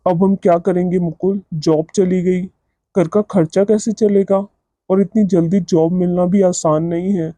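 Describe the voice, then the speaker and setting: A man's voice: high voice, fairly even intonation, unhurried, warm tone, no room sound. A man voicing a grown son of a household in a cartoon moral story. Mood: worried.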